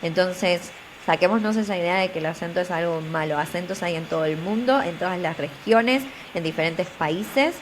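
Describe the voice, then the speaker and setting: Encouraging Buenos Aires woman, low voice. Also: normal speed, hardly any pauses, a little hiss or hum, rough recording. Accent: Buenos Aires Spanish. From Argentina. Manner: earnest, encouraging, repeats phrases for emphasis. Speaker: interview guest, career coach. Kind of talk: motivational interview talk.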